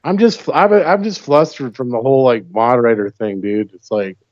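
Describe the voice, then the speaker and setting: Slightly dull, dry call audio carries a man from the United States with a medium-pitched voice. He chats casually as a guest, pushing his opinions through rhetorical questions and quick check-ins with the listener. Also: dry recording. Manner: pressing points with rhetorical questions.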